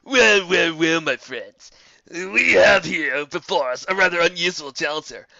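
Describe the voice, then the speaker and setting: Narrator putting on a loud, blustering griffin brute. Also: mid-range voice, animated, measured pace, creaky, muffled recording, voice pushed up, exaggerated voice. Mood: mocking. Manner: taunting, blustering, theatrical sneer. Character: boastful, scornful adult male griffin brute. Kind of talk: fan fiction story character voice.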